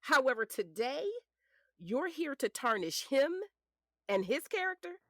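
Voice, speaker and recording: Woman playing confident lady defense lawyer, pointed and formal, lively pitch, audio drama. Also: measured pace, full character voice. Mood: accusing. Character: sharp, confident female defense lawyer cross-examining in court.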